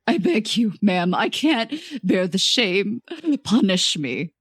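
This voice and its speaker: A woman's voice: lowish voice, a little slow, creaky, no room sound, clean studio sound, pitched below the performer's own voice. A woman voicing an overwrought, servile male supermarket manager, melodramatic and pleading, in a comic story. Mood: desperate pleading.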